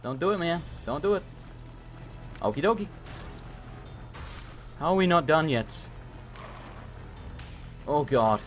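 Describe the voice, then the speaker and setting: A man commentating a live gameplay stream, hoarse and losing his voice, talking frantically and swearing often. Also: fairly high pitch, lively intonation, takes it slowly, long pauses, faint music behind. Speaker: gaming streamer.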